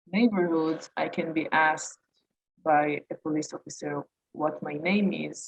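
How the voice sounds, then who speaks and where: Slow, low-voiced woman answering questions. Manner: hesitant, many fillers and restarts. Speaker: panel speaker. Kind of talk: answering audience questions in a webinar. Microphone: video call. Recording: dull lo-fi video call audio.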